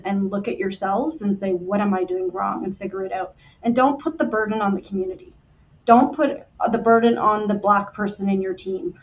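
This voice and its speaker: A woman panelist speaks with earnest passion, and her sentences build in urgency. The recording is muffled and lo-fi, and her pitch sits in the middle. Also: darkish tone. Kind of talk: panel discussion remarks.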